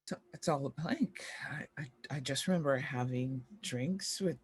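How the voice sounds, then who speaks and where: A woman playing a boozy, bewildered former child star in a drama table read, husky and halting. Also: rumbling low, exaggerated voice. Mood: shaken. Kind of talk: screenplay table read acting.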